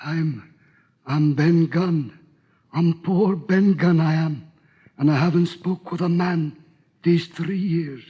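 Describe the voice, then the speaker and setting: An actor playing a pitiful, half-mad marooned castaway in an audio drama, halting and stammering in a husky, quavering voice. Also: male voice, on the high side, muffled recording. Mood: pitiful, weary. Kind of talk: audio drama acting on a record.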